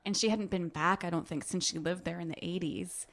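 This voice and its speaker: A woman's voice: lowish voice, steady tone, fairly quick, a bit bright. Giggly American woman chatting casually. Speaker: podcast co-host. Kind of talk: casual book-club podcast chat.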